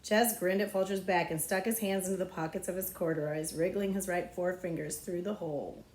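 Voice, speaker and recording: A woman narrating a children's novel aloud as a librarian would, with expressive storytelling. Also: fairly low pitch, fairly quick, a little hiss or hum. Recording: echoey, roomy sound.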